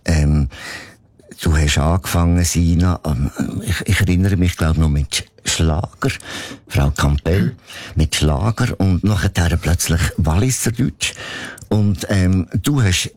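A man's voice: low voice, slow, darkish tone, no room sound. A man with a Swiss German accent interviewing musicians, asking long, rambling questions full of fillers. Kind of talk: casual interview conversation.

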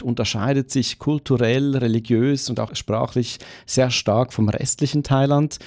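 A man's voice: medium pitch, a little mellow, dry recording, clean studio sound. A man working as a foreign correspondent, explaining events in a radio news interview.

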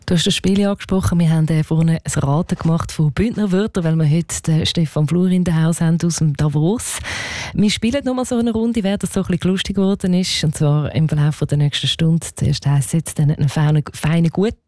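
Zurich radio host, woman, mellow lower voice, curious interviewer. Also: a little fast, dry recording, studio quality. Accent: Zurich Swiss German. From Switzerland. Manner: curious, lively questioning. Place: radio studio.

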